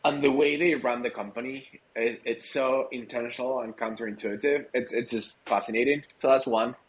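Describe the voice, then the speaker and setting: A man's voice: mid-range voice, steady tone, moderate pace, low-quality recording. A man from Argentina whose first language is Spanish, chatting casually as an interview guest and feeling for his words in an informal, hesitant way. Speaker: podcast guest, startup growth executive.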